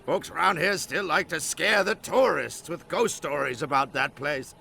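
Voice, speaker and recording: An actor playing a folksy, talkative old island elder in a video game, with a creaky voice and theatrical delivery. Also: male voice, fairly high pitch, moderate pace, sharp tone. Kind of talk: animated video game cutscene acting.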